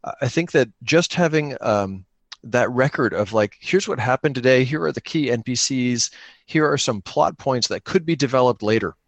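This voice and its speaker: A man's voice: mid-range voice, animated, moderate pace, no echo. A man in casual conversation, speaking earnestly and encouragingly with somewhat hesitant phrasing. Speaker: podcaster and guest. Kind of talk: casual chat about gaming.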